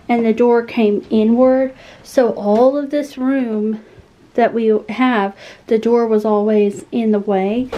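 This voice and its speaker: A woman's voice: a little high, dark tone, lo-fi sound. A woman, chatting to viewers in a casual, encouraging way, with lots of fillers, from a phone held at arm's length in a small bedroom. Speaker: cleaning vlogger. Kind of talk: casual chat to camera.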